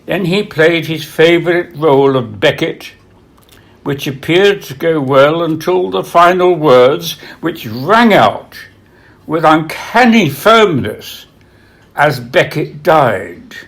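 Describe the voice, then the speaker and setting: Slow, solemn man reading aloud. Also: fairly high pitch, animated, a bit bright. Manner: solemn, measured, dramatic pauses. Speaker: author reading from own book. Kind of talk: scripted literary narration, biography reading.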